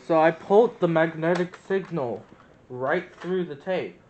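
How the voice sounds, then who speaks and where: A man's voice: fairly high pitch, measured pace, darkish tone, dull audio. A man presenting a casual hands-on experiment, thinking aloud as he demonstrates. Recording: roomy echo, noticeable background noise.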